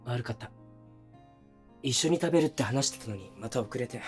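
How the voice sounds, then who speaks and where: A man performs a young boyfriend in an intimate situation-voice drama. His mid-pitched voice is conversational and soft-spoken, with natural pauses. He sounds like someone considerate to others yet oblivious to his partner. Faint music plays behind him. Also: lively intonation, lightly acted. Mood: apologetic. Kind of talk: intimate situation-voice audio drama, naturalistic one-sided dialogue.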